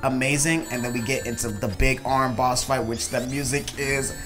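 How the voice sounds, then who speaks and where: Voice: medium pitch, fairly even intonation, moderate pace. Casual game reviewer, mid-range voice of unclear gender, gushing and rambling, music playing behind. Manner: enthusiastic, emphatic, rambling. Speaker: video creator reviewing a game.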